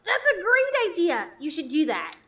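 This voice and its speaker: A woman's voice: very high-pitched, huge pitch swings, normal speed, a bit bright, exaggerated voice. A woman playing a cheeky, muddled, childlike fairy beggar in a live radio drama, with playful theatrical delivery. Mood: bright, cheeky.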